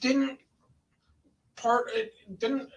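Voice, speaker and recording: A man's voice: very high-pitched, flat delivery, very slow, far from the mic, highs cut off. A man in a casual chat who talks hesitantly and often restarts his sentences.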